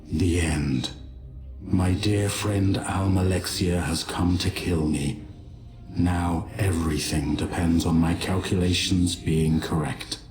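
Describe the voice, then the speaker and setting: A man playing a calm, resigned dying god in a fantasy game, speaking gravely and with measured solemnity. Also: low-pitched. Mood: solemn resignation. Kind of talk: solemn scripted game monologue. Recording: echoey, faint music behind.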